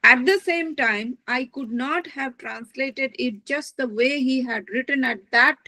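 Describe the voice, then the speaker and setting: A woman giving an academic lecture as a literary translator, speaking in a measured, thoughtful way and searching for her words. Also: high voice, pushed and bright, low-quality recording. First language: not Dutch.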